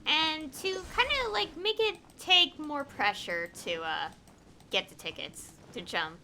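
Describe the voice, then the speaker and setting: A woman streaming games talks in a high, very lively voice with a bright edge. She chats casually and rambles, often breaking her thoughts into halting fragments. There is faint noise behind her. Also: faint music behind. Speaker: game streamer. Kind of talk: casual livestream chat.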